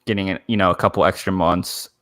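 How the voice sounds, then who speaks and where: A man's voice: low-pitched, steady tone, brisk, fairly bright tone, dry recording, clean studio sound. A man co-hosting a podcast in casual discussion, speaking informally and giving his opinions freely. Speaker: podcast co-host.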